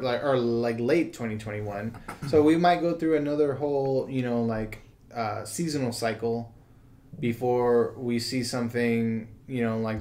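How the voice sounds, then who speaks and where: American man, rambling and halting podcast talk. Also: mid-range voice, darkish tone. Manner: rambling and halting, heavy use of "like" and "you know". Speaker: podcast host. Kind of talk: casual conversational commentary on current news.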